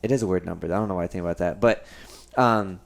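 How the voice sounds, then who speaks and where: A man's voice: low voice, fast, creaky. A man hosting a casual podcast, talking in a reflective, rambling way with many restarts. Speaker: podcast host.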